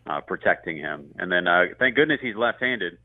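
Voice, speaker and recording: A man's voice: deep voice, lively intonation, normal speed, no echo. A man talking sports as a radio play-by-play guest, in a chatty, conversational analysis style. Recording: muffled, band-limited phone-like line.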